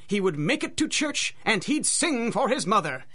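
A woman's voice: mid-range voice, sing-song, moderate pace, a bit bright, husky. A woman narrating a scripted rhymed adventure story, with a dramatic, singsong rhythm.

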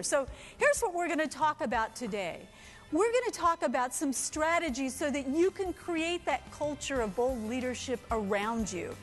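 A high-voiced woman in her forties delivers a keynote with energy and emphasis. She talks into a headset mic on a conference stage. Faint music plays underneath. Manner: energetic, emphatic, gestures a lot. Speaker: keynote speaker. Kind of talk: motivational keynote talk.

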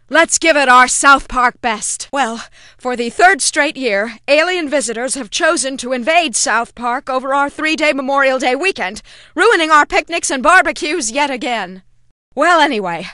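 High-pitched, bright cartoon mayor. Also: female voice, no room sound, studio quality, heavily acted. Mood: exasperated, official. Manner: theatrical, peppy officious delivery. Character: chirpy, self-important cartoon small-town female mayor. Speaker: race announcer. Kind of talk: scripted video game announcements.

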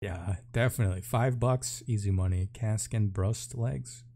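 A man with a fairly low voice and a dark, relaxed tone. He talks like a game streamer giving a first look, informal and chatty. His delivery is casual, with a jokey streak. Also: measured pace.

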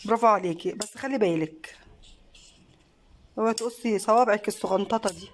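An Egyptian woman filming a home craft vlog on her phone, talking casually and praising warmly as she films. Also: mid-range voice, fairly quick, slight background noise. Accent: Egyptian Arabic. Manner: warm praising, encouraging, mixes in many fillers. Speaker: craft channel host and mother. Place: living room at a home table. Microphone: phone camera held by the speaker.

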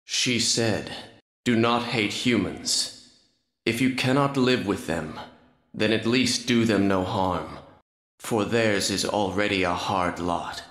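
A man voicing a brooding, noble half-vampire prince in a video game, speaking in a grave, formal, measured way. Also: lowish voice, a bit bright. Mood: solemn. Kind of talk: dramatic video game voice acting. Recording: echoing game audio.

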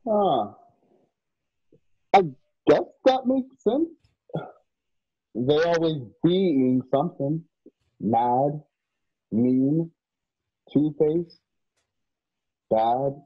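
An actor playing a plainspoken, world-weary cowboy companion in a Western radio drama, with folksy rustic speech. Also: male voice, fairly high pitch, lively intonation, drawn-out words, long pauses, husky, dull audio, exaggerated voice. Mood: puzzled, bitter.

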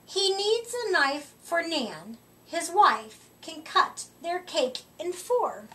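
Woman storytime reader, slow deliberate pacing, bright voice, expressive emphasis, slightly distant mic. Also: very high voice. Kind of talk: picture-book read-aloud for children. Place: indoor room, seated before a curtain. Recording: voice distant from the microphone.

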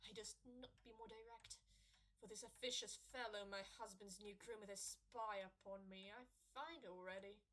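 A woman playing a proud, quick-witted young wife in an audio drama, speaking formally and theatrically as in early stage comedy. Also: medium pitch, fairly even intonation, a bit bright, lightly acted. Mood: pensive, wary. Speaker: amateur actor.

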